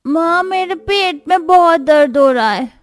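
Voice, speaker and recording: A woman's voice: extremely high pitch, animated, unhurried, no room sound, clean studio sound, voice pushed up. A woman voicing a young boy, a pleading nephew in a cartoon family story. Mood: pained, whining. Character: young boy nephew, food-eager, whiny. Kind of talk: Hindi cartoon story dialogue.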